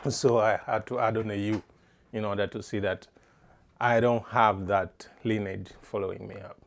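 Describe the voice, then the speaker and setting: A middle-aged man from East Africa answers questions in a lowish voice. He speaks haltingly, often restarting a phrase while he looks for the right word. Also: steady tone, creaky. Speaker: interviewee. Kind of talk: casual interview answers.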